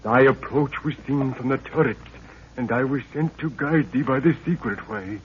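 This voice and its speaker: A man's voice: mid-range voice, moderate pace, lightly acted. Man playing a solemn old servant, tinny radio. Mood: solemn, reverent. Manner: formal, archaic thee-and-thy phrasing. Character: devoted old male palace servant, solemn and reverent. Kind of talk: 1930s radio adventure acting. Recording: tinny, noisy 1930s radio recording.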